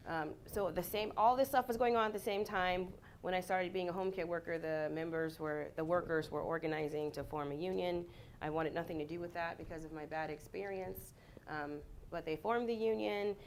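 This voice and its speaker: A woman's voice: fairly low pitch, a little hiss or hum. A woman on a panel telling her story as a home care worker, conversational with many ums. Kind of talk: panel self-introduction.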